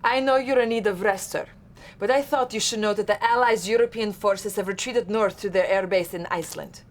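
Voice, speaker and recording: A woman's voice: medium pitch, a little fast, natural voice. An actress playing a dutiful female Soviet military aide, respectful and businesslike, in a video game cutscene.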